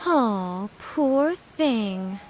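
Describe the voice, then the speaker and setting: An actress playing a sly, poised priestess in a video game, speaking with teasing mock sympathy. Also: female voice, fairly high pitch, animated, extremely slow, heavy background noise. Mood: coy, probing. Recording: faint music under the voice.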